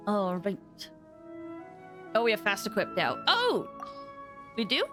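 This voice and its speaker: A woman game streamer chatting casually and excitably, thinking aloud with frequent exclamations as she plays. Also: mid-range voice, slow pace, music in the background. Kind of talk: casual livestream gaming chat.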